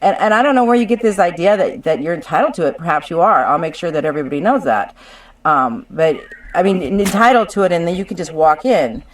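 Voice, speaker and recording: A woman's voice: lowish voice, lively intonation, fast, recorded from a distance, low-quality recording. A woman arguing insistently and combatively over a legal dispute on a conference call, as a claimant representing her own case. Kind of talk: contentious legal phone discussion. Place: conference call, some distance from the mic.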